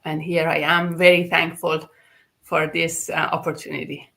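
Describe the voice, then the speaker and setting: A woman's voice: sits low, flat delivery, moderate pace, creaky, fairly bright tone. A woman in her forties from Iran introducing herself on a video call, earnest and hesitant with many fillers. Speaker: hairstylist.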